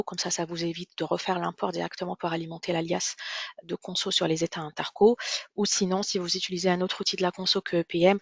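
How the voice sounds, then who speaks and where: A presenter giving a software demonstration in a webinar, explaining step by step in a halting way, often repeating and rephrasing. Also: mid-range voice, creaky, no echo, muffled recording. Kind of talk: product demonstration webinar, semi-scripted explanation.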